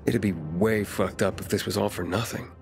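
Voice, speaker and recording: Earnest game hero, lowish pitch, laconic, casual, plain-spoken survivor, faint music behind. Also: male voice, moderate pace, gravelly. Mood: earnest, guarded. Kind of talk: video game cutscene acting.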